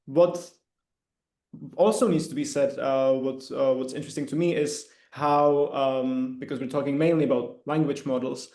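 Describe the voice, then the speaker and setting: A young man, a PR consultant answering steadily on an online panel. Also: mid-range voice. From Czech Republic. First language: Czech. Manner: steady, measured, some fillers. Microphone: video call. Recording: echoey room.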